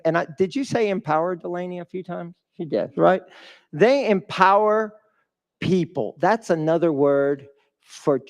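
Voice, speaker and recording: A man giving a live motivational fundraiser speech as a guest speaker, emphatic and putting questions to the audience. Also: fairly high pitch. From a developing country.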